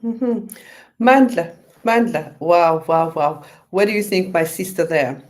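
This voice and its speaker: A woman's voice: on the low side, slow pace, a little mellow. Effusive woman radio host. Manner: effusive, many "you know" fillers. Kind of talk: radio interview hosting.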